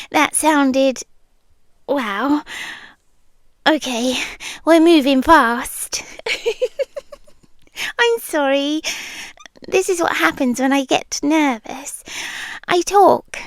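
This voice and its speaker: British woman, high soft half-whisper, unhurried, nervously chatty ASMR roleplay, dry close mic. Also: no echo. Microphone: close ASMR microphone.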